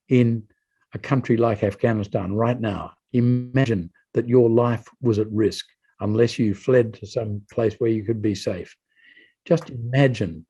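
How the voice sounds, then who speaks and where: Earnest man, dark husky voice. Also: mid-range voice. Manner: earnest, persuasive. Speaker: panel speaker. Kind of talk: public speech at a meeting. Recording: dull lo-fi sound.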